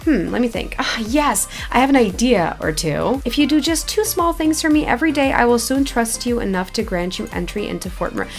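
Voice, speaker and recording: A woman's voice: on the high side, very animated, fairly quick, few pauses, faint music behind. A woman voicing a small, proud, bearded old female creature from a game, with an indignant, haughty manner. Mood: softening, bargaining. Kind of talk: game dialogue read aloud.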